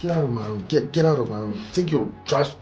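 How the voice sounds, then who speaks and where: A man playing a defensive, hot-tempered young man who shouts in disbelief and then drops to a tense whisper. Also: medium pitch, animated, fast, muffled recording, natural voice. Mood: dismissive. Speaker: young man confronted by his partner. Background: music.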